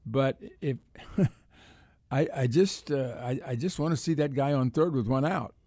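A man's voice: mid-range voice, measured pace, dark tone, no echo, clean studio sound. An older American man co-hosting a sports talk show, chatting casually and often repeating his words. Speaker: sports talk radio co-host.

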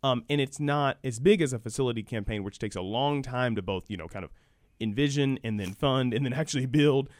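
A man's voice: medium pitch, brisk, dry recording, clean studio sound. An American man explaining college sports conversationally as a guest expert in a radio interview, emphatic and fond of hypothetical examples.

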